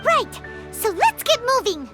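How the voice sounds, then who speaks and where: A woman's voice: extremely high pitch, big pitch swings, a little slow, a bit bright, exaggerated voice. A woman voicing a small childlike fairy companion in a video game, eager, inquisitive and exclamatory. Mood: excited. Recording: music behind the voice.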